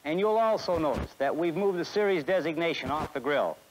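A man's voice: fairly high pitch, expressive, fairly quick, slight background noise, low-quality recording. A man in his forties with an American accent giving a scripted sales presentation in a 1960s promotional film. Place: film studio beside a truck.

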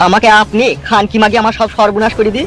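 A man's voice: sits high, flat delivery, close to an everyday voice. A man voicing a vengeful, menacing, foul-mouthed political thug in a comedy cartoon. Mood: furious, threatening. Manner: menacing, abusive, drawn-out threats.

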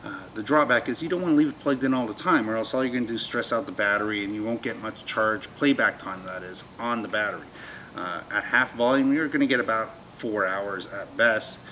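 A man's voice: fairly low pitch, brisk, sharp tone. A man in his fifties giving a casual, folksy, plain-spoken product walkthrough as a shop demonstrator, in an indoor showroom. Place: store showroom with shelves, indoors. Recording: noisy showroom background hum.

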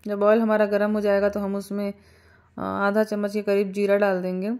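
A woman calmly talking through a home cooking recipe step by step, with small hesitations. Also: mid-range voice, moderate pace. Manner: calm step-by-step instructions with small fillers. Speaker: cooking channel host. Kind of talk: home cooking tutorial voice-over.

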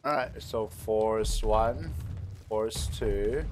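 A man's voice: lowish voice, very slow, slight background noise. A man giving casual, slangy gameplay commentary, talking to himself and to the game as he plays. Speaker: gamer recording a playthrough. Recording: game sound clearly behind voice. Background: video game ambience and effects.

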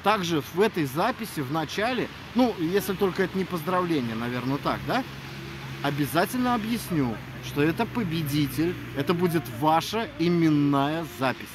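Man, thirties, high-pitched, earnest video greeting, recorded outdoors with clear city noise. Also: lively intonation, normal speed. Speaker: audiobook narrator addressing listeners. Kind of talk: casual video greeting to followers. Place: outdoors in a snowy city square at night. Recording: noisy outdoor city square, traffic ambience.

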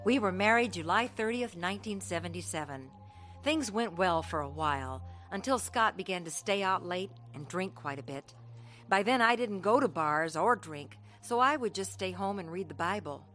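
A woman's voice: lowish voice, expressive, moderate pace, fairly bright tone, soft background music. An actress playing an earnest young woman telling her life story of faith in a radio drama. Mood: earnest narrating. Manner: earnest, heartfelt. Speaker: actress narrating and playing the lead. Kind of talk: radio drama acting with first-person narration.

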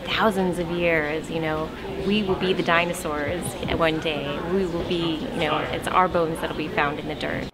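A woman in her thirties speaking reflectively in measured phrases with pauses, as in an interview or poetry reading. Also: deep voice, steady tone, a loud crowd. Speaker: choreographer, dancer and poem reader.

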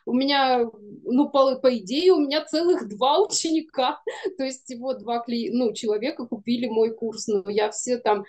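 A woman in her forties talks casually as a guest on a video call. Her voice sits a little high and has a very mellow, relaxed tone. She is frank and self-deprecating, explaining things in an informal, conversational way. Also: normal speed. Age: 40s. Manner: candid, self-deprecating, laughs while talking. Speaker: guest, animation studio producer. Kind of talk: casual interview chat. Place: indoor room, video call.

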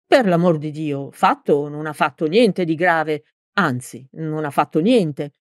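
A woman's voice: low voice, measured pace, no echo, clean studio sound. A woman voicing a big, hot-tempered male village mayor in a storybook, blustering and clumsily formal with emphatic delivery. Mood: awkward, deferential.

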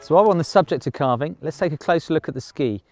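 A man in his thirties teaches skiing out on a snowy slope, his voice sitting a little high. He explains plainly and upbeat, with the odd dry joke, into a clip-on mic. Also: expressive, very fast, music in the background. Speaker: ski instructor. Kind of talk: on-slope ski lesson. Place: outdoors on a snowy ski slope.

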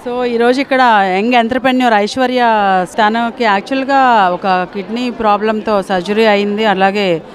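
A woman's voice: on the high side, fairly even intonation, moderate pace. A middle-aged woman hosting an expo, introducing stalls and explaining products on a handheld mic in a large exhibition hall. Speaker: host. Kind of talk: on-the-spot expo interviews. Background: crowd chatter.

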